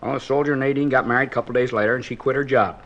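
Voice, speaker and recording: Fast, folksy working-class witness, acted by a man. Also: medium pitch, fairly even intonation, fairly bright tone, close to an everyday voice. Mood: gossipy, resigned. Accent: American. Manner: rambling, folksy, repetitive. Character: talkative working-class male witness, blunt and gossipy. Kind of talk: 1950s radio police drama acting. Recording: dull, lo-fi 1950s radio recording.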